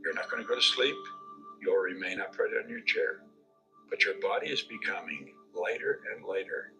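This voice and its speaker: Low gravelly man guiding hypnosis. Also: bright, forceful tone, poor audio. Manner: slow, soothing, repetitive suggestions. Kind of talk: guided hypnosis session.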